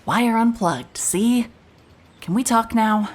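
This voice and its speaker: A woman playing a wry, irritable private investigator in an audio drama, with a dry, blunt, sardonic delivery. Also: slow pace. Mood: annoyed. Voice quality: low-pitched voice. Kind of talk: cinematic audio drama, noir narration and naturalistic dialogue.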